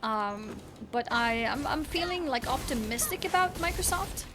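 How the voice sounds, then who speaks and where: A woman's voice: sits high, animated, normal speed. A young Swedish woman streaming and chatting casually, with an American-leaning accent, rambling and thinking aloud. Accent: American-leaning English. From Sweden. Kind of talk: casual chat with viewers while gaming. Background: game music.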